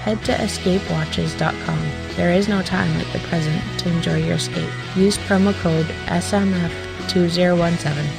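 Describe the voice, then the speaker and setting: A woman reading a radio ad in a smooth, aspirational way. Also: lowish voice, fairly even intonation, normal speed, hardly any pauses. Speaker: ad announcer. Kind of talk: scripted radio ad read. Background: guitar music.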